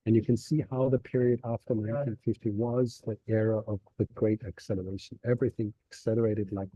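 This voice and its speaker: A middle-aged man from Denmark giving a relaxed, explanatory webinar presentation over a video call. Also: fairly low pitch, fast, breathy edge, dry recording, rough recording. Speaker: nonprofit executive director giving a talk. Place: seated on stairs of a train station.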